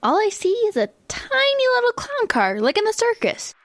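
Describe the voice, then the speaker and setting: Woman voicing a very high, whiny kid. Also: wildly swooping pitch, moderate pace, a bit bright, no room sound, heavily acted. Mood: excited. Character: whiny, excitable kid in a bickering family comedy crew. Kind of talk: comedy radio play acting.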